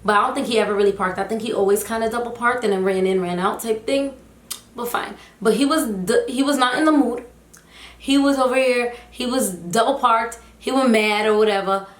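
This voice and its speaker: A young woman telling a casual storytime vlog, chatty and animated with lots of fillers, her voice slightly hoarse. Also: on the high side, moderate pace. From United States, Haitian family. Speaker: vlogger, teacher. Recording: echoey room.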